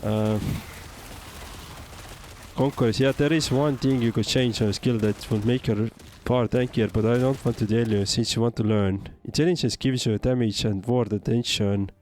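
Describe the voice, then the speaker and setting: A man's voice: mid-range voice, steady tone, normal speed, a little mellow, a little hiss or hum. A man from Estonia with an Estonian accent, giving casual livestream game commentary and answering chat in a hesitant, thinking-aloud way. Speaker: game streamer.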